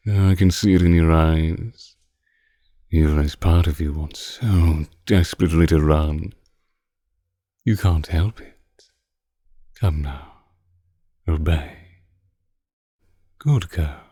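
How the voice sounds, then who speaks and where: A man's voice: a bass voice, dark tone, full character voice. A man playing a seductive, possessive ancient vampire in an intimate audio roleplay, commanding and teasing, sometimes sinking into whispers. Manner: slow, teasing, commanding, breathy drops into whispers. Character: seductive, predatory male vampire, ancient but youthful-looking, possessive.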